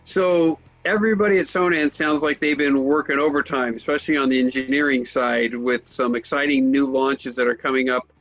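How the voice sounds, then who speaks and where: A man's voice: mid-range voice, steady tone, brisk, hardly any pauses, fairly bright tone, faint music behind. Male interviewer, dull lo-fi sound. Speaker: trade press interviewer and host. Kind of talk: structured interview hosting.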